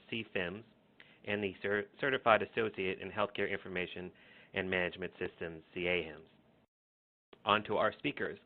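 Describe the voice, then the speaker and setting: A man moderating a webinar, reading a formal scripted introduction and announcements. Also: fairly low pitch. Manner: reads announcements in a steady formal tone. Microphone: online webinar connection. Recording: phone-like webinar audio.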